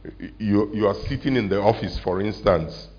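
Deep-voiced pastor preaching. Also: male voice, muffled recording. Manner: conversational, asks the congregation questions, slows for emphasis. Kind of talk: sermon. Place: church hall with congregation.

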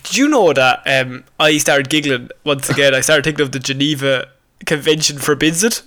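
A man with a notably high voice and an Irish accent co-hosts a comedy podcast. He talks in loose, casual banter with a giggly, teasing edge, and swears freely. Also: moderate pace, bright, forceful tone. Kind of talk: casual banter over read-out odd news stories.

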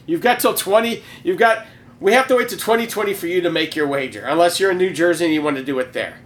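A man's voice: sits high, fairly bright tone, a little hiss or hum. A man co-hosting a casual podcast, needling his friend with sarcastic jokes. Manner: sarcastic, jokey, quick to needle. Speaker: podcast co-host.

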